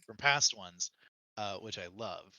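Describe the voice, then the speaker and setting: A man's voice: on the low side, expressive, slow, a crowd in the background, low-quality recording. A man speaking as a podcast guest, casually and reflectively explaining his own preferences in video games.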